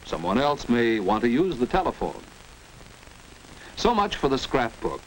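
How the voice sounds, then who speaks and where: A man with an American accent narrating a 1940s instructional film in a precise, didactic manner. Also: mid-range voice. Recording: noisy 1940s educational film soundtrack.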